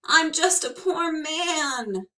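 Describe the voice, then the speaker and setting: Sly, shrill old leprechaun man, tiny and scolding, put on by a woman reader. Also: animated, very slow, pushed and bright, full character voice. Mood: whining.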